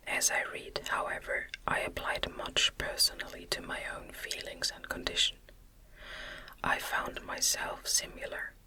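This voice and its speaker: Female narrator, ASMR whisper, very crisp bright sibilance, unhurried pace, gentle gothic novel reading. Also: low-quality recording. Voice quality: breathy, unvoiced whisper. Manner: slow, gentle, measured. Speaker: audiobook narrator.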